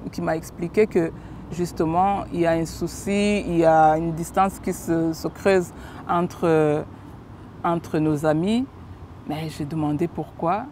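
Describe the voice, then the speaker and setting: A middle-aged woman from Rwanda giving a personal testimony in an outdoor interview, speaking hesitantly with frequent pauses and fillers. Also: sits low, darkish tone, a little hiss or hum. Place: park lawn outdoors.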